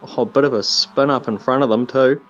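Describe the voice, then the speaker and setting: A man with a New Zealand accent co-commentates a club sim race, cracking jokes and lifting at passes. His mic sounds dull and lo-fi, and his voice sits at a middling pitch. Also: fairly quick. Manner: jokey, excitable on track action. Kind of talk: casual live race commentary.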